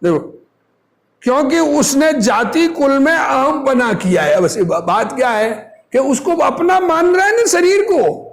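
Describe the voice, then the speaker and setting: A man's voice: very high voice, very animated, a little slow. A man giving an informal sermon, reading from a text and explaining it didactically, repeating phrases and posing rhetorical questions. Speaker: religious teacher reading and explaining a discourse.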